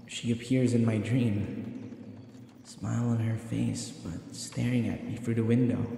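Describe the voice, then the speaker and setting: A young man tells his strange story in a nervous, bewildered first-person monologue. His voice is dark and gravelly, with a mellow warmth. The recording carries a long, roomy echo, as if spoken in a reverberant hall. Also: on the low side. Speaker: audio roleplay performer. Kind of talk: first-person audio roleplay narration.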